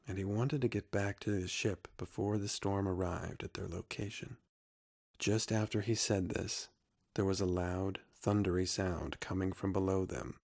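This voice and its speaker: A man's voice: low-pitched, vocal fry, darkish tone. A man narrating a scripted adventure story.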